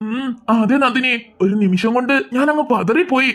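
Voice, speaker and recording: A woman voicing a calm, gentle father who reassures his wife. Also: a little high, normal speed. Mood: reassuring. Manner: calming, reassuring.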